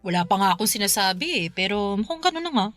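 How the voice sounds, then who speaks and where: An actress playing a blunt, practical older sister who scolds her brother in an exasperated, sharp way. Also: mid-range voice, very animated, quick pace, soft background music. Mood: questioning.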